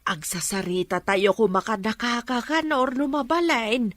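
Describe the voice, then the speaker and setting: A woman's voice: fairly high pitch, normal speed, no room sound, natural voice. A woman playing a disapproving, fretful older mother in an audio drama, with a nagging manner. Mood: reluctant, worried. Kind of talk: Ilocano audio drama acting.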